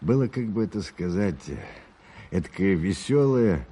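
An actor playing a genial, wry storyteller in a radio play, savouring his words in a husky voice. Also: low voice, expressive, a little slow, mellow, exaggerated voice. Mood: amused, reminiscing. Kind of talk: Soviet radio play acting.

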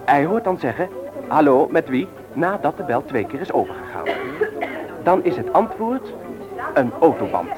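An actor playing a cocksure, bossy young ringleader in a radio play, instructing with firm emphasis. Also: male voice, on the high side, big pitch swings, normal speed, loud music behind the voice, low-quality recording. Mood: confident, instructing.

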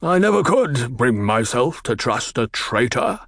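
A man voicing a gloating, menacing male villain baron in a slow, sneering drawl. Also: medium pitch, sing-song, a bit bright, no room sound, clean studio sound, heavily acted. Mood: contemptuous. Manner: slow, drawn-out, sneering delivery. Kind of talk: audiobook character voice.

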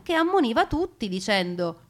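A woman narrating family war memories in a theatrical, expressive way, with emphatic pauses. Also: fairly high pitch. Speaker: storyteller performing a narrative stage piece.

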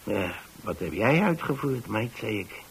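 A man's voice: fairly low pitch, big pitch swings, unhurried, vocal fry, slight background noise, exaggerated voice. An older man, a radio actor playing a kindly retired man who tells his story in a hesitant, rambling, conversational way. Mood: concerned. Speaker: radio actor, narrating lead.

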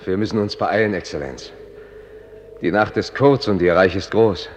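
A man's voice: deep voice, normal speed, natural voice. An actor playing a firm, urgent escort hurrying his master along, clipped and deferential, in a German radio play. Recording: clear vehicle noise behind.

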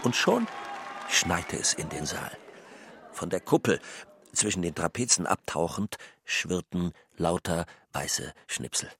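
A husky man giving expressive, theatrical narration in a radio drama, stretching out his phrases. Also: sits low, unhurried, no room sound, some chatter behind.

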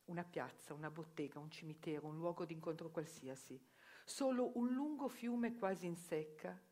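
A woman narrating a theatre reportage in a measured, reflective storytelling manner. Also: fairly low pitch, darkish tone.

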